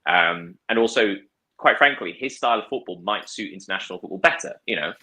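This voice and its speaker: A man's voice: low-pitched, a little fast, pushed and bright. A man discussing football casually, thoughtful, with frequent fillers and restarts. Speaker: podcast guest.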